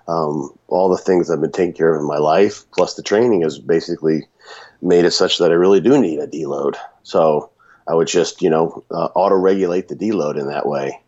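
Deep raspy guy with a cold, rambling podcast chat, kinda muffled recording. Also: warm tone. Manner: rambling, many restarts and fillers. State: sick with a runny nose.

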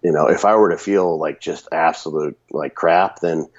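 A man with a cold and a raspy voice, talking casually on a podcast with many restarts and fillers. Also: low voice, steady tone, moderate pace, dull audio. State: sick with a runny nose.

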